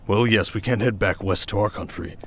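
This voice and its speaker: A man's voice: lowish voice, fairly quick, slight background noise, close to an everyday voice. A man playing a proud, protective warrior king in a fantasy roleplay, with a commanding, sardonic manner. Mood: urgent, resolute. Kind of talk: dramatic fantasy ASMR roleplay.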